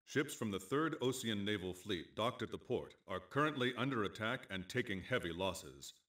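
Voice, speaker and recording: An actor playing a sober, formal military briefing officer in a video game, delivering scripted mission narration in a measured way. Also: male voice, lowish voice, animated, fairly bright tone. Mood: formal, grave.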